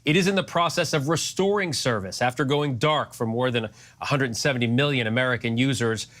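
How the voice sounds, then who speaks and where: Male news anchor, mid-pitched. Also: fairly quick, fairly bright tone. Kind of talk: broadcast news report and interview.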